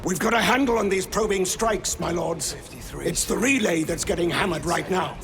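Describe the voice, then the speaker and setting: A man's voice: high voice, normal speed, gravelly, crowd noise. An actor playing a gritty guard officer, deferential to his superiors and barking orders at his troops.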